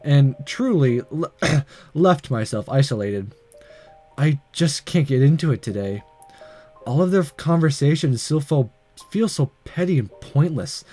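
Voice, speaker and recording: A man hosting a video, reading a visual novel aloud in an expressive narrating style. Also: medium pitch.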